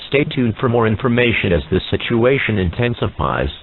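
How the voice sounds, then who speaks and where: A man reading a scripted emergency broadcast announcement in a formal, measured manner, as an alert announcer. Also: mid-range voice, very animated, slight background noise. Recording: muffled narrowband radio-like sound.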